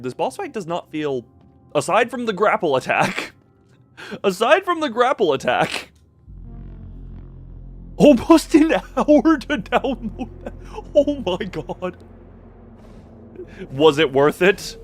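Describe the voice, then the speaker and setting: A man's voice: high voice, wildly swooping pitch, slow pace, long pauses, faint music behind. A man live-streaming a video game with casual commentary and chat with viewers, rambling in half-finished thoughts with playful sound words.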